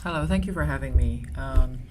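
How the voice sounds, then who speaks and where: A woman from Hong Kong answering as an interview guest, conversational and reflective, pausing often as she thinks. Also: extremely low pitch, darkish tone, slight background noise.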